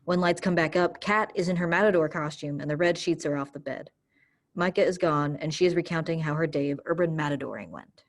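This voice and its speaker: Low-pitched woman reading stage directions. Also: a little fast. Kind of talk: scripted narration.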